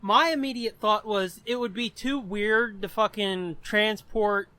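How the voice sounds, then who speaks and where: A man's voice: sits high, unhurried, no room sound. A man hosting a podcast in casual chat, explaining things in a blunt, sweary way. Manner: profane, blunt, animated explaining. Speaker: podcast host.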